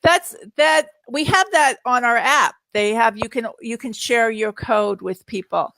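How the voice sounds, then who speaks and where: A woman's voice: fairly high pitch, unhurried, a bit bright, no echo, low-quality recording. A middle-aged woman chatting casually in a live Q&A, telling anecdotes and repeating her words as she goes. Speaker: guest parent and experienced product user answering viewer questions.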